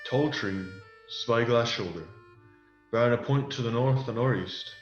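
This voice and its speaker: A man acts out a scheming pirate chief for a radio play, talking persuasively in salty, rustic sailor dialect. His mid-pitched voice sounds dull and roomy, with clear music behind it. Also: measured pace, close to an everyday voice. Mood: calculating. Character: wily male pirate leader, persuasive and scheming. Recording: muffled, echoey audio under loud music.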